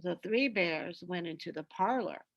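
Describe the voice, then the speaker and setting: A woman reading a children's story aloud with expressive, deliberate, dramatic narration. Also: fairly low pitch, muffled recording.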